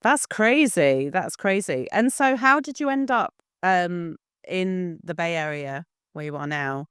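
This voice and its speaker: A woman's voice: fairly low pitch, animated, unhurried, a bit bright, smooth, dry recording, studio quality. A woman hosting a podcast, interviewing her guest in a friendly, conversational way. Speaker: podcast host.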